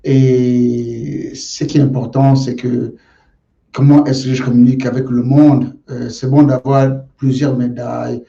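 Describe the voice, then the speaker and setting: Male guest from Gabon, deliberate and slow, deep warm tone, roomy muffled recording. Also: flat delivery, vocal fry. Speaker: guest, martial arts champion. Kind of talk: interview answers. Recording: roomy, dull lo-fi sound.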